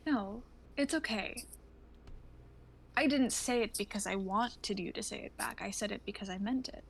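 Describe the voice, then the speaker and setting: A woman's voice: medium pitch, moderate pace, gravelly, a little hiss or hum, close to an everyday voice. A woman acting a regretful young woman confessing love to an old friend, earnest and hesitant, in a dramatic livestream reading. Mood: wistful, earnest.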